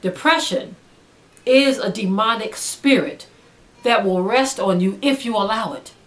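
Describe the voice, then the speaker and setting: A woman's voice: medium pitch, unhurried, a bit bright. A woman speaking as a religious teacher in a sermon style, earnest and emphatic, urging listeners with repeated phrases. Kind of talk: sermon-like spoken exhortation.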